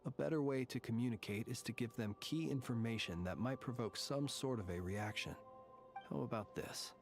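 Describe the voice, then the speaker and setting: An actor playing a solemn, courtly lighthouse warden who consoles ghosts in a video game, measured and eloquent. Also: male voice, mid-range voice, soft background music, lightly acted. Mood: composed, grave. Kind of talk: video game character acting.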